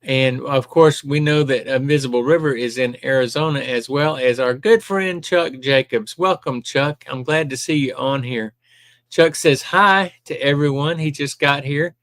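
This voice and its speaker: A man's voice: medium pitch, normal speed, a bit bright, dry recording, clean studio sound. An older retired man from Alabama with a Southern accent, hosting a live stream and chatting casually as he reads viewer comments aloud with plenty of ums.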